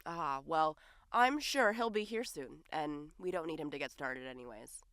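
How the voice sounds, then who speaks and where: A woman's voice: low-pitched, animated, normal speed, fairly bright tone, smooth, no room sound, studio quality. A woman acting in an audio drama as a flustered, defensive human man posing as a fairy king, with a theatrical manner. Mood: smug, composed.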